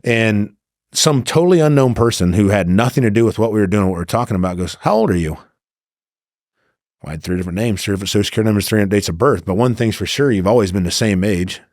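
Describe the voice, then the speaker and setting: A man's voice: lowish voice, fast talker, a little mellow, dry recording, clean studio sound. A middle-aged man telling casual anecdotes as a podcast guest, acting out both sides of conversations. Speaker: podcast guest, former undercover agent.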